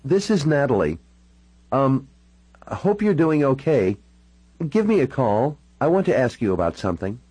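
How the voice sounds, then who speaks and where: Audiobook role: troubled, candid woman, wry and informal, husky, lifted pitch male narrator performing. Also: big pitch swings, moderate pace, pauses often, a little mellow, no room sound, rough recording. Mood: hesitant, subdued. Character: troubled, sardonic young-to-middle-aged woman, hard-drinking and candid. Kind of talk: audiobook character dialogue.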